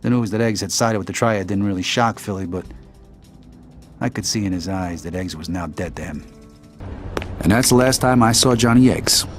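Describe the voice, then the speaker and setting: An actor playing a world-weary, blunt American mobster in a gritty crime game. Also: male voice, on the low side, moderate pace, pauses often, soft background music, close to an everyday voice. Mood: weary, reflective. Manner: tough, blunt, streetwise. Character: world-weary, hard-bitten male mobster, loyal to family. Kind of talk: gritty crime drama narration and dialogue.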